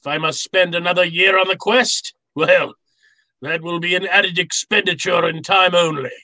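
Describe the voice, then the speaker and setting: An actor playing a genteel, wily fat crime boss in old-time radio drama style, with florid, courtly manners. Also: male voice, high-pitched, fairly even intonation, sharp tone, no room sound, full character voice. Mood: suave resolve. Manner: florid, courtly, unhurried, chuckling politeness. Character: fat, genteel, wily middle-aged criminal mastermind.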